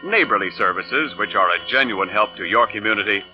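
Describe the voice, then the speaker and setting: A man's voice: fairly low pitch, animated, a little fast. A man announcing for a 1940s radio show, delivering scripted sponsor copy with polished, emphatic salesmanship. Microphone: studio radio microphone. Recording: muffled old radio broadcast, faint music.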